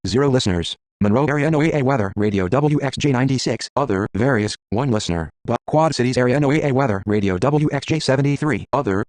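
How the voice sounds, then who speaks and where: A man's synthetic screen reader voice rattles through list entries very quickly. The delivery is clipped and mechanical, with a medium pitch and a completely dry, close sound. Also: no echo. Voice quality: synthetic text-to-speech voice. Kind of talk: flat automated list reading.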